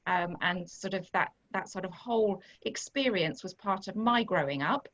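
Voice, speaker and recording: A woman hosting a conversation, sharing her own experience reflectively and gently guiding her guests. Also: mid-range voice, moderate pace, highs cut off. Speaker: host. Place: church recording.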